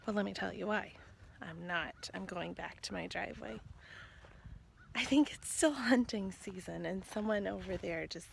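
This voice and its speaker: A woman's voice: mid-range voice, moderate pace, gravelly, slight background noise. A woman chatting casually in a playful family vlog. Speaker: family vlogger.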